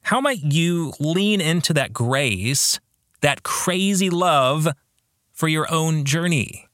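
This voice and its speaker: Guy doing a reflective podcast monologue, bright crisp edge, pretty high voice, earnest and emphatic. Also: expressive, slow pace, no echo, studio quality. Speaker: podcast host and author, reading own book. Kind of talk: reflective monologue to listeners with book reading.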